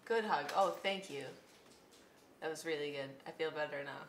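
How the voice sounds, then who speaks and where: A young woman bantering casually through a prank call, animated and giggly. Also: sits low, moderate pace. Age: young adult. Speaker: prank show host. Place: small indoor room at a table. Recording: roomy sound, faint noise.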